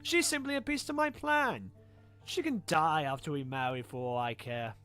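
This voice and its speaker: A man's voice: a little high, expressive, normal speed, fairly bright tone, soft background music. A man voicing a scheming, cruel villain pony in a cartoon, theatrical and taunting as he savors his words. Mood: smug menace. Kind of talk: animated fan drama villain acting.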